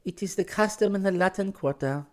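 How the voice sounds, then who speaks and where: Man voicing a shy young Frenchwoman, pitched high. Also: fairly quick, close to an everyday voice. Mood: evasive. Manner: formal, careful, hesitant phrasing. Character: young French woman, shy, secretive, feverish bohemian.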